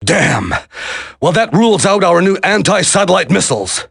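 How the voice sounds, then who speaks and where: A man playing an irascible middle-aged government secretary in a video game, raspy and curt, barking orders. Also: high-pitched, sing-song, normal speed, fairly bright tone, no room sound, clean studio sound, exaggerated voice. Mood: angry, frustrated. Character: irascible senior government secretary, commanding and blustering.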